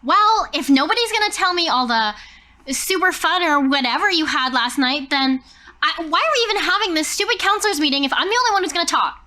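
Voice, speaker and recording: A woman's voice: very high voice, animated, a little fast, sharp tone, voice pushed up, full character voice. A woman voicing a quirky, boisterous girl camp counselor in a tabletop game, blunt and dramatic. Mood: frustrated.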